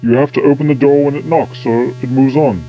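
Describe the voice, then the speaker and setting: A man's voice: medium pitch, steady tone, a little fast, highs cut off, natural voice. A man playing an eccentric, self-important time-travelling scientist in an audio drama, pompous and absent-minded. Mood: musing.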